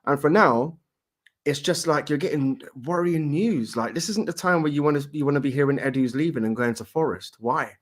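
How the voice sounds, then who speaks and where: Fast-talking man from Morocco. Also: on the high side. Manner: emphatic, argumentative. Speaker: panelist. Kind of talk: heated football debate.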